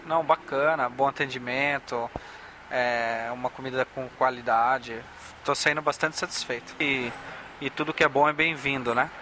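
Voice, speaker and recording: Brazilian male customer, medium-pitched voice, casual interview over clear venue noise. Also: steady tone, normal speed, bright, forceful tone. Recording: noisy venue background.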